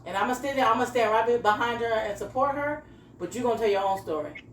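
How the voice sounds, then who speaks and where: A woman talks quickly in a casual, opinionated phone chat, with a medium-pitched voice and a matter-of-fact manner. The call sounds echoey, with faint noise behind her. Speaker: company interviewer who screens job candidates.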